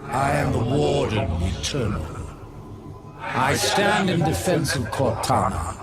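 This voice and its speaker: Game villain: haughty ancient male machine sentinel, slow, grandiose, menacing, under loud music. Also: medium pitch, sing-song. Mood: haughty menace. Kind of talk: video game cutscene acting.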